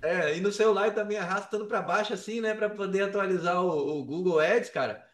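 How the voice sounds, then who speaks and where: A Brazilian man with a fairly high voice chats casually on a podcast. He tells things in slangy, animated fashion. The sound is roomy, with him slightly away from the microphone. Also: fairly quick, a loud crowd. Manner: slangy, animated storytelling with acted-out quotes. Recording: roomy echo, distant from mic.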